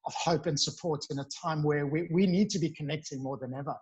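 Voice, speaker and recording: A man's voice: a little high, steady tone, fast talker, a little mellow, highs cut off. A man in his forties, an earnest, upbeat podcast guest chatting over a video call. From South Africa. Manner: upbeat, earnest, with bursts of emphasis. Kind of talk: casual interview chat.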